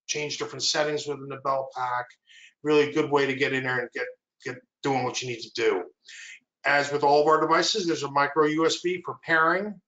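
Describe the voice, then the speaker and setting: A man's voice: a little high, reverberant, rough recording. A man giving a product presentation, walking through slides and asking for the next one. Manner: steady explanatory walkthrough, asks for the next slide.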